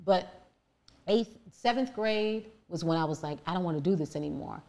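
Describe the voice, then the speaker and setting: Deep-voiced woman telling her story emphatically. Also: normal speed, over a noisy crowd. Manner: emphatic, animated, with frequent fillers and restarts. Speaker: guest. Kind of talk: candid personal storytelling.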